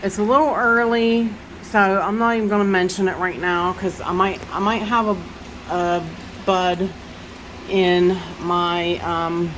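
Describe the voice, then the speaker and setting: Slow, chatty Southern woman with a head cold. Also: medium pitch, background noise. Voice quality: nasal when bending over. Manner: chatty, rambling with many fillers and restarts. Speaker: orchid grower giving a plant tour. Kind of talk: casual walk-through vlog narration.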